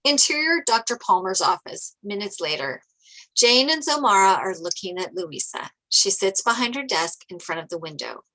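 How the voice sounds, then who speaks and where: A woman's voice: mid-range voice, very animated, moderate pace, pushed and bright, poor audio. A woman reading stage directions as narrator at a script table read.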